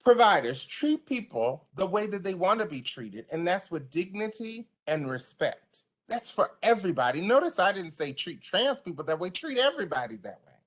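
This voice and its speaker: A woman with a deep voice giving an online training to service providers, speaking passionately and conversationally. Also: very animated, normal speed, few pauses. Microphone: video call.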